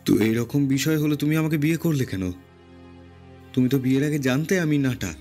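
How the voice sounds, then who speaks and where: A man's voice: mid-range voice, a little fast, warm tone, close to an everyday voice. Meek, downcast short husband, acted by a man, cartoon fairy tale, mid-range, earnest, over music. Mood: hurt, puzzled. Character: gentle, dejected short-statured husband and shopkeeper. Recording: clear music behind the voice.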